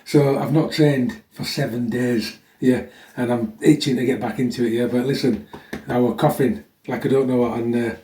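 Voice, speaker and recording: A man in middle age speaks with a dark, relaxed tone that is a little chesty. He chats plainly to his fitness audience, often checking in with a "yeah?". He sounds some distance from the microphone in a reverberant room. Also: mid-range voice, moderate pace, slight background noise. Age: middle-aged. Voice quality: slightly chesty. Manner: chatty, blunt, frequent "yeah?" tags. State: getting over a chest infection. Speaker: fitness vlogger. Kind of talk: casual chat to viewers introducing a workout. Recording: echoey room, mic at a distance.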